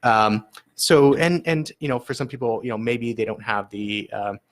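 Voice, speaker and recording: A man's voice: mid-range voice, steady tone, normal speed. A man running a food bank, answering interview questions in an earnest, explanatory way, with frequent fillers and repeated words. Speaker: food bank executive director, guest.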